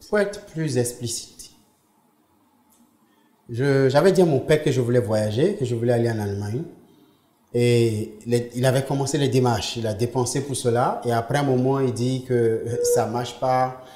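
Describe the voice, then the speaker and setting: A man's voice: mid-range voice, warm tone. Earnest man in a roomy room. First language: English. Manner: earnest, emphatic storytelling. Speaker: interview guest. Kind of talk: personal testimony in conversation. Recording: roomy echo.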